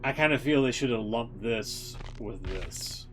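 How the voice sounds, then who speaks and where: A man livestreaming casual, rambling commentary on a video game, opinionated, slangy and quick to swear. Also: mid-range voice, lively intonation, over music.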